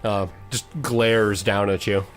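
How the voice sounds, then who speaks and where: A man's voice: fairly low pitch, little pitch movement, slow pace, soft background music. Man running a tabletop fantasy game.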